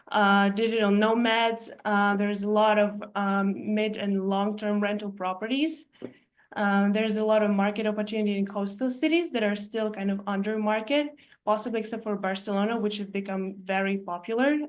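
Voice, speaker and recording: Woman presenting. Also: medium pitch. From Ukraine. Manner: measured, explanatory, with frequent hesitations. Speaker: architect and real estate investor presenting. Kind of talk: presentation walking through slides.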